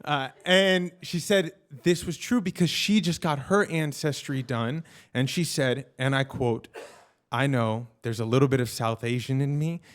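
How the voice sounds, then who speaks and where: A man's voice: medium pitch, measured pace. A man storyteller telling a comic personal story live, acting out the people he quotes.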